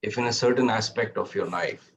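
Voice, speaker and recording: Low-voiced man on a muffled call. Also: vocal fry, a little hiss or hum. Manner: apologetic, measured. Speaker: audience member asking a question.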